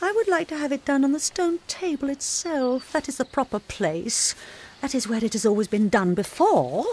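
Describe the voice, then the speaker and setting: A woman's voice: high voice, expressive, normal speed, darkish tone, slight background noise. An actress playing a cruel, imperious witch queen in a radio drama, declaiming her orders coldly. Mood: cold, deliberate.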